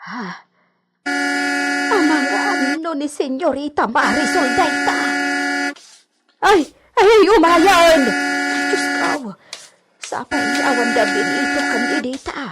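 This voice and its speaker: A woman's voice: sits high, expressive, takes it slowly, pauses often, heavy background noise. A woman playing an anxious, easily flustered country woman in a radio drama, exclaiming and muttering to herself. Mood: startled, flustered.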